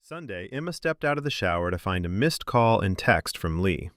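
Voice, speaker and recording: Deep-voiced man narrating fiction. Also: big pitch swings, normal speed, fairly bright tone, no echo, studio quality. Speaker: narrator of a serialized fiction podcast.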